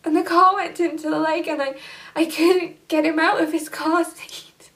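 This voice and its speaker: A young woman playing a guilt-ridden young woman who confesses in a hushed, halting voice, recorded from a distance. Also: very high-pitched, flat delivery. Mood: grieving. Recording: distant camera mic.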